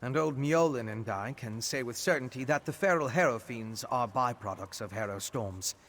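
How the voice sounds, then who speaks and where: A man's voice: mid-range voice, expressive, moderate pace, fairly bright tone. A man playing a genial, curious scholar-adventurer in a fantasy video game, theatrical and courteous in delivery. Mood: intrigued, pondering. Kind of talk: scripted video game dialogue.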